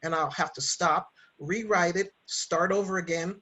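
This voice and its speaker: A woman's voice: on the low side, steady tone, normal speed, a bit bright, husky. A woman podcaster and writer talking casually, in a conversational, explanatory way. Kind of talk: casual chat.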